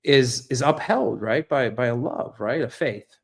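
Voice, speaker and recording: An American man with a middle-range voice talks off the cuff to a livestream audience. He is earnest and reflective, feeling his way through each thought. His phrasing is halting, with repeated words and frequent tag questions. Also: mid-range voice, creaky. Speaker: livestream host and essayist. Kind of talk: unscripted livestream monologue on politics and philosophy.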